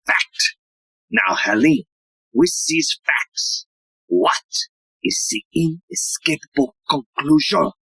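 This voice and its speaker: A self-important detective inspector acted by a woman holds forth in a low voice with huge swings of pitch. The delivery is theatrical and lecturing, full of dramatic pauses, in a close, dry audio play recording. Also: very animated, bright, forceful tone, breathy edge, no room sound, full character voice, low-quality recording.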